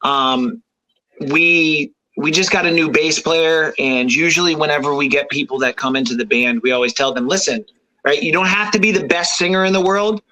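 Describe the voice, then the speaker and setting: A man with an unusually high, bright voice talks casually as a guest. He rambles through fillers and restarts and laughs easily. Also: normal speed, low-quality recording. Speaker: rock band front man, guest. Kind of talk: casual radio chat.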